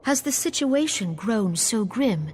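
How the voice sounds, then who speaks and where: An actress playing a solemn, wise female leader in a fantasy game, speaking in a measured, stately way. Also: a little high, big pitch swings, breathy edge, faint music behind, heavily acted. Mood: concerned, grave. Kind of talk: formal fantasy game voice acting.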